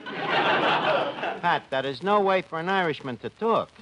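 An actor playing a brash tavern manager who wisecracks with ungrammatical street talk, in 1940s radio comedy style. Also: male voice, sits high, expressive, takes it slowly, bright, forceful tone, exaggerated voice. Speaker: host of the show. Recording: noisy 1940s radio broadcast.

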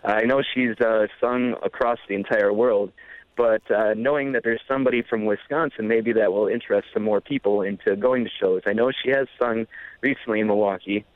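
A man's voice: on the low side, fairly even intonation, fairly quick, dry recording. A man from Illinois calling into a radio show by telephone, speaking in a casual, hesitant way with many uhs. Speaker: caller. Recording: tinny phone line.

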